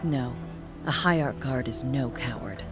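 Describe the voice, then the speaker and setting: Slow, low-voiced stoic female guard. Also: music in the background. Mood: solemn, reserved. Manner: formal, restrained, solemn delivery. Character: stoic, devoted young female royal guard warrior. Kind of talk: scripted video game character voice lines.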